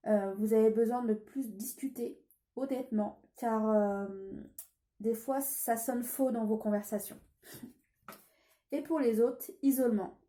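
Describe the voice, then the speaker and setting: A woman's voice: on the high side, dark tone, echoey room. A woman with a cold giving a casual tarot reading, hesitant with many fillers and restarts. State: has a cold. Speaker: tarot card reader.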